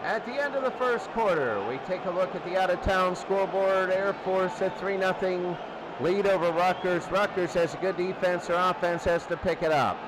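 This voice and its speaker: A man calling football play-by-play, getting excitable when the action picks up. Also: high voice, normal speed. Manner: excitable on big plays. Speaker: play-by-play sports commentator. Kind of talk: American football game commentary. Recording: clear background noise.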